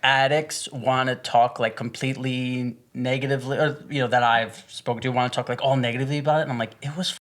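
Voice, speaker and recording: A man's voice: mid-range voice, little pitch movement, fast talker, few pauses, fairly bright tone. A man chatting casually as a podcast guest, telling candid, self-deprecating stories and swearing freely. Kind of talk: casual comedic chat, candid anecdotes.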